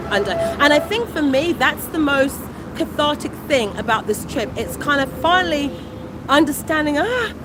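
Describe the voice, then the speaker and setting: High-voiced chatty British woman outdoors. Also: expressive, normal speed. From United Kingdom. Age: 40s. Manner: chatty, exclamatory, warm asides. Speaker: vlogger. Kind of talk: casual livestream chat. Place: outdoors on a city street. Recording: street traffic noise behind. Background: passing traffic.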